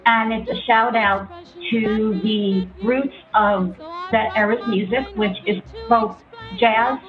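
Higher-voiced woman on a lo-fi voicemail. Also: steady tone, takes it slowly. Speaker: listener leaving a voicemail. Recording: dull lo-fi voicemail with clear music behind.

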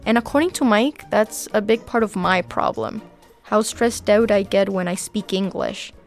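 A woman's voice: mid-range voice, normal speed, soft background music. A young woman from Panama, a native Spanish speaker with a slight accent, narrating a documentary in the first person as its reporter.

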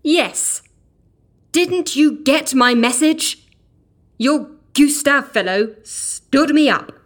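High, slow-spoken exasperated woman agent. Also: animated, heavily acted. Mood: dry, annoyed. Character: weary, exasperated, dry-witted woman field agent. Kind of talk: audio drama acting.

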